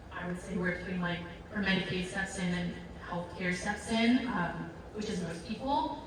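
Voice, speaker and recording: A woman introducing a live panel as a nonprofit founder, rambling and excited with many fillers and self-corrections. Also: mid-range voice, background noise. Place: large event hall with audience. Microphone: handheld mic, heard from a distance. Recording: echoey hall recorded from far off.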